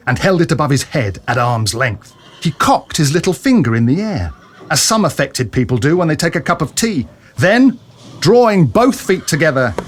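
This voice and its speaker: A man narrating a story dramatically from a script, as in an audio drama. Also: a little high.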